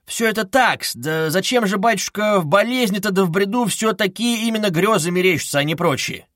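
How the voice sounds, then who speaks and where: A man's voice: high-pitched, lively intonation, brisk, fairly bright tone, no room sound, clean studio sound, voice pushed up. A man voicing a wily, mockingly cheerful police investigator in an audiobook, with a giggly, coaxing, teasing manner. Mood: mocking, gleeful. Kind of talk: dramatized audiobook reading.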